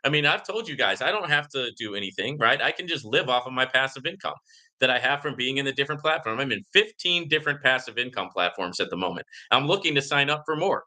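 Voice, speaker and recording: A man hosting a live Q&A in a casual, conversational and emphatic way, reading comments and often checking in with a quick "right?". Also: medium pitch, expressive, pushed and bright. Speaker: livestream host answering viewer questions.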